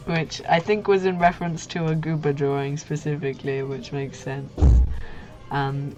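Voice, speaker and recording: A woman's voice: deep voice, darkish tone. A young woman hosting a casual livestream, chatting in a goofy, giggly, slangy way. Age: young adult. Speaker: livestream host, artist. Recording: muffled lo-fi stream audio with music. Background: background music.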